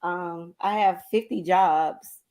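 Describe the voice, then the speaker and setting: A woman's voice: lowish voice, steady tone, takes it slowly, a little mellow. A woman co-hosting a talk show, chatting casually and animatedly about her day. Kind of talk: casual chat.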